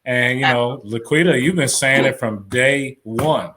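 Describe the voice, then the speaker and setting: A man as a guest on a live talk show, giving advice in a relaxed storytelling way, full of "you know.". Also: mid-range voice, measured pace. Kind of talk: casual advice chat.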